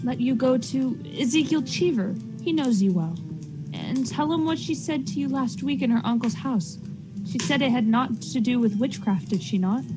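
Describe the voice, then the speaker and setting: A woman's voice: sits high, animated, moderate pace, music in the background, rough recording. A woman playing an anxious, insistent Puritan farmer's wife in a radio drama, earnest and pressing in old-fashioned phrasing. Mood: urgent, insistent. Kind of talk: period radio drama acting.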